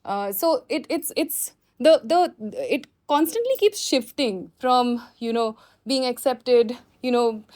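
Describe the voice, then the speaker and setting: Woman, Indian accent, high voice, filmmaker, spontaneous answers, animated explanatory storytelling. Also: takes it slowly, few pauses. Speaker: filmmaker answering audience questions. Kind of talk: spontaneous question-and-answer talk.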